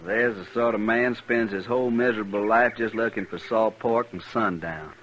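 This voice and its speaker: An American actor playing a gruff, plain-spoken trail boss in a 1950s western radio drama, blunt and folksy with dry humour. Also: male voice, on the low side, normal speed, fairly bright tone, close to an everyday voice. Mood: wry. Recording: noisy, muffled old radio recording.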